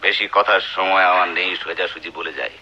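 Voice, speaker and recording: An actor in a Bengali radio play playing a cruel, scornful husband with a menacing, taunting delivery. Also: male voice, deep voice, steady tone, brisk, noisy background. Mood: scornful, furious. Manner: theatrical, menacing, taunting interrogation. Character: cruel, domineering, abusive husband, scornful and deceitful. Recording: dull lo-fi radio drama recording.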